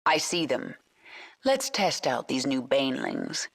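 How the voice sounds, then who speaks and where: A woman's voice: extremely low pitch, sing-song, measured pace, gravelly, exaggerated voice. A woman voicing a commanding female alien swarm queen in a game cutscene, cool and curt. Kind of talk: video game cutscene acting. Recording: game audio under loud music.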